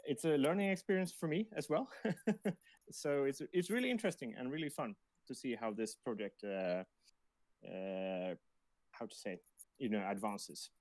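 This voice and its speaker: A man's voice: medium pitch, normal speed, a little mellow, dry recording. A man in a game team talking casually on a livestream, hesitant and often repeating his words. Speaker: game team member for audio and administration. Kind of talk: casual livestream introduction and chat.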